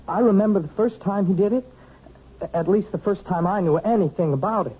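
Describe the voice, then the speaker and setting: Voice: medium pitch, a little fast, breathy edge, natural voice. An actor playing an earnest, worried twenty-year-old male piano student with an American accent, boyish and hesitant, in radio drama. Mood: concerned, insistent. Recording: muffled vintage radio recording.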